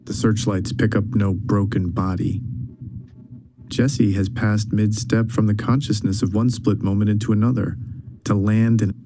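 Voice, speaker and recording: A man narrating a dark audio drama as a grave, measured storyteller. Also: lowish voice, natural voice. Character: sober storyteller of a dark supernatural thriller. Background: faint music.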